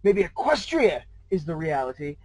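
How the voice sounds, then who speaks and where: A man's voice: on the high side, sing-song, moderate pace, darkish tone, no room sound. A man voicing an eccentric, smug old man with a theatrical, rambling manner in a story read aloud. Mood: smug, musing. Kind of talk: fan fiction read aloud in character.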